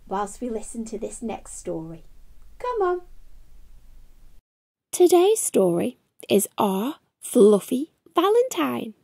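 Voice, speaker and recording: A middle-aged woman hosting a children's storytime, reading aloud in an expressive, sing-song way. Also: high voice, vocal fry, husky, dry recording, studio quality. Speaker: children's storytime host and reader. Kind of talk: animated read-aloud for young children. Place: small furnished room at home.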